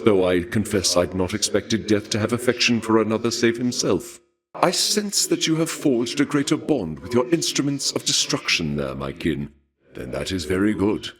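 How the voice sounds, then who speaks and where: An actor playing a courtly god of war in a video game, speaking formally and with measured, suave menace and a taste for bloodshed. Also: male voice, on the low side. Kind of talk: theatrical video game character dialogue.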